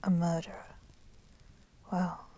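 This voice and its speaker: A woman voicing a proud, resentful female vampire in a whispered ASMR roleplay, speaking softly and deliberately in formal, menacing phrases. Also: lowish voice, completely flat delivery. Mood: cold, accusing. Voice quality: soft, breathy whispering. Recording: constant crackling fire noise behind voice. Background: crackling fire.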